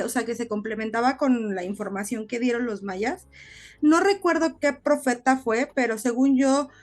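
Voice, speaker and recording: Higher-voiced Mexican woman chatting casually. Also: darkish tone. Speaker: podcast co-host. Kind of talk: casual podcast chat.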